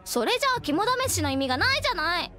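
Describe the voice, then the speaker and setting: An actress playing an exasperated, strong-willed young woman in an anime game, with a sharp, scolding manner. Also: extremely high pitch, big pitch swings, fast, faint music behind, full character voice. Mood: angry. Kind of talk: anime game character acting.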